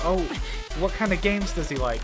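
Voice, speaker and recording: A person giving casual, slangy, excited reaction commentary on a video game trailer, prone to exclaiming. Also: mid-range voice, normal speed. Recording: music playing behind the voice. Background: video game trailer music.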